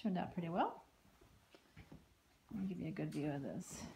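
A woman giving a casual walking tour of a house and its backyard, filmed on a handheld phone, with chatty remarks that trail off into soft asides. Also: low-pitched, expressive, normal speed, background noise. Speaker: home tour vlogger. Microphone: phone camera held while walking.

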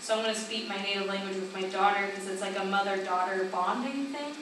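A woman's voice: mid-range voice, fairly even intonation, fast talker. A young woman student teacher telling anecdotes in a classroom seminar discussion, heard from a camera across a small room. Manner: chatty, storytelling. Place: small bare room, seated at a table. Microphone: far camera. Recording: echoey room from far off, noisy.

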